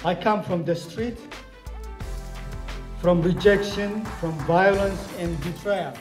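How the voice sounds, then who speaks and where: A man reads first-person memoir lines in a measured, declamatory way, his voice notably high. The echo is roomy and soft music plays underneath. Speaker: narrator reading a memoir. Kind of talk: scripted dramatic narration. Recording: roomy voice-over with music behind.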